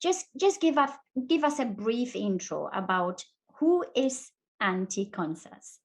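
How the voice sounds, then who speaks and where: A woman's voice: a little high, very animated, unhurried. A woman hosting a fireside interview series, welcoming her guest with enthusiastic, effusive praise. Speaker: host. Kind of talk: interview chat.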